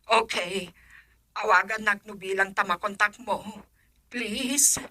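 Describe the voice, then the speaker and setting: A woman's voice: medium pitch, slow, pushed and bright, dry recording. A woman with an Ilocano accent playing an anxious middle-aged mother who frets aloud about her missing son in a radio drama. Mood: pleading. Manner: fretful, talking to herself in worry.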